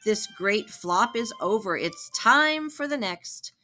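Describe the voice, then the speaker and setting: A woman's voice: medium pitch, lively intonation, takes it slowly, sharp tone, soft background music. A woman voicing a spirited, encouraging old great-great-aunt in a children's storybook, exclamatory and emphatic. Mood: excited, encouraging. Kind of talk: children's picture-book read-aloud acting.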